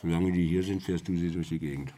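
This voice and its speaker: A man's voice: low-pitched, fairly even intonation, quick pace, warm tone, slight background noise, lightly acted. A man playing a brash, pushy middle-aged fixer in a German radio drama, blunt and cajoling as he talks. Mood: smug, persuasive.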